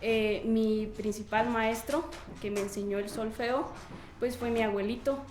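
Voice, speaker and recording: A woman's voice: on the high side, fairly even intonation, a little slow, a little mellow, slight background noise. Mexican woman in a roomy space. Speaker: musician interviewee. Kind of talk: personal recollection in interview. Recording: echoey room, faint music underneath.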